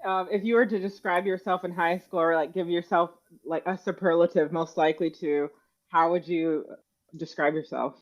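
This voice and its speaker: A woman's voice: fairly low pitch, fairly even intonation, normal speed, highs cut off. A woman hosting a podcast, interviewing a guest in a conversational style.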